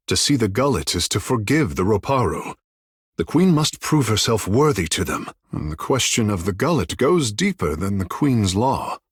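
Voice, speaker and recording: Proud flamboyant island mage, low voice, sweeping melodic swings, lofty formal phrasing, fantasy game character. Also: male voice, husky, no room sound, clean studio sound. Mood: earnest, dignified. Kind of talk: video game voice acting.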